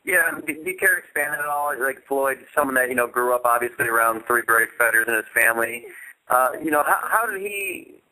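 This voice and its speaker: Male sports radio host, extremely bright, forward voice, mid pitch, halting and rambling as he frames questions. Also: expressive, rough recording. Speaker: radio show host, interviewer. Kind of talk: casual sports radio interview.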